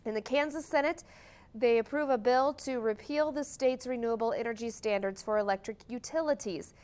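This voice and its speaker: A woman's voice: a little high, brisk, darkish tone, smooth. An American woman anchoring a morning newscast, reading scripted news in a TV studio.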